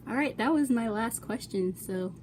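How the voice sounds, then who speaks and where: A woman's voice: on the high side, expressive, normal speed, a little mellow, slight background noise. A young adult woman asking questions in a casual, informal chat. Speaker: client asking questions, vlogger.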